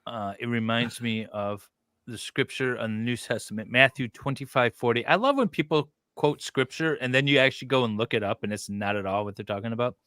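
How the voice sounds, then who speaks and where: A man with a bright, forceful voice and a mid-range pitch reads aloud as a co-host. The sound is dry and close, and his delivery moves between recitation and casual, sardonic asides. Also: brisk, clear, resonant tone, no room sound. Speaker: podcast co-host reading a document aloud. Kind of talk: dramatic reading with casual asides.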